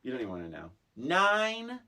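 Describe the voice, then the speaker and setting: A podcast co-host chatting casually about movies in a blunt, animated way, swearing freely. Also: medium pitch, normal speed.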